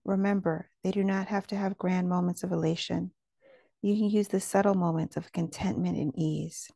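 A woman guiding a meditation in a gentle, calming manner. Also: fairly low pitch, moderate pace, gravelly, no room sound, clean studio sound. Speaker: meditation guide. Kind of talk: guided meditation narration.

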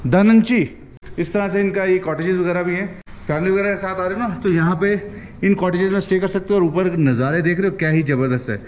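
A young man casually narrating a travel vlog outdoors while riding a motorbike, chatty and excited. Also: high voice, fast, a little hiss or hum. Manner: chatty and excited, keeps saying "yaar". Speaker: travel vlogger. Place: outdoors, riding a motorbike through a mountain valley.

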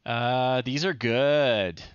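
A man in his thirties hosting a casual livestream, talking in an unhurried, amused, halting way. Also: medium pitch, clear, resonant tone, no room sound, dull audio. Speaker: livestream host. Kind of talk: casual livestream commentary, reading children's stories aloud. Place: small home room, indoors.

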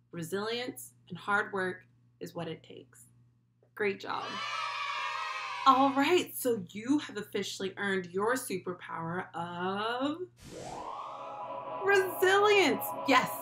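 A young woman hosting a children's lesson, speaking directly to the child with animated, encouraging praise. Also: medium pitch. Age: young adult. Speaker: children's read-along host and school speech therapist. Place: small furnished room at home, indoors.

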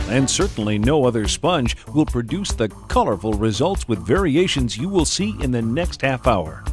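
A man reading scripted promotional narration as an infomercial announcer. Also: fairly high pitch. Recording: music bed under narration. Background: music.

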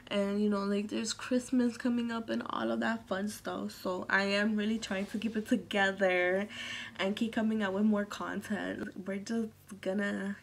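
A young woman vlogging from her bedroom, rambling casually with lots of fillers and soft trailing endings, into a close phone camera. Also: mid-range voice, flat delivery, moderate pace, gravelly, a little hiss or hum. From Massachusetts. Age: young adult. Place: bedroom, indoors. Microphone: phone or webcam at arm's length.

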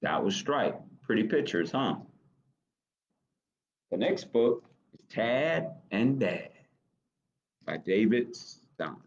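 A middle-aged American man reading a picture book aloud to children, expressively, pausing to ask questions. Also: fairly low pitch, big pitch swings, highs cut off. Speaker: library storytime reader. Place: small office, indoors.

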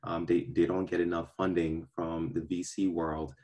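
A young man from Ghana raised in Brooklyn, answering interview questions on a video call from a park, earnest and measured with frequent ums and restarts. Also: sits low, little pitch movement, darkish tone. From born in Ghana, raised in Brooklyn. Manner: earnest, measured, many ums and repeated starts. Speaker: venture capital partner, guest. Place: outdoors in a park. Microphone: video call webcam.

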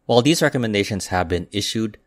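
A man with a deep, low voice reads aviation news in a steady, measured way. The recording is dry and close, with no room sound around him. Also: no echo. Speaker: aviation news channel host. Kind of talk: scripted news narration. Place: voice-over, not recorded in the pictured airport.

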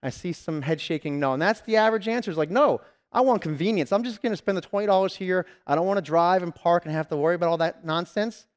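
Lively man giving a keynote on stage. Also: sits high, fast, clean tone. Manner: lively storytelling, acting out customers and salespeople. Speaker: keynote speaker. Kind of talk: conference keynote. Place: large conference stage. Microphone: headset mic.

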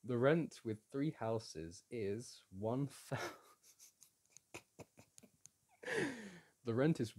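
Man playing a slow, animated boyfriend, teasing and soothing. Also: medium pitch. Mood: gleeful. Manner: gently teasing and coaxing, halting. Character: playful, teasing, soothing boyfriend at a board game. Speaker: audio roleplay performer. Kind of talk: boyfriend ASMR roleplay, casual intimate chat to listener.

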